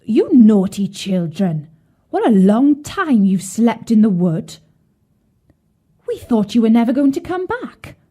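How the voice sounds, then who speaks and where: A cruel storybook mother, acted out by a woman reader. She is sharp, bossy and emphatic. Her temper is scolding and scheming. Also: on the high side, big pitch swings, slow, breathy edge, exaggerated voice. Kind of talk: children's storybook character voice.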